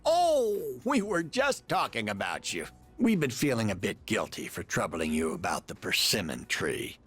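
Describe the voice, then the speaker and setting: A man playing a genial, folksy old husband in a video game, with a creaky voice and a joking way of talking. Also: fairly low pitch, huge pitch swings, normal speed, fairly bright tone, soft background music. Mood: jovial. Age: older. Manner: folksy, jovial.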